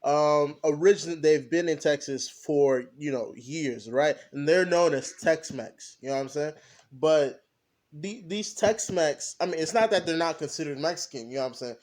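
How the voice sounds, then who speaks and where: A young man co-hosting a podcast, talking casually and haltingly, often stopping to search for his words. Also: fairly high pitch, a little mellow. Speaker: podcast co-host. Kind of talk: casual discussion.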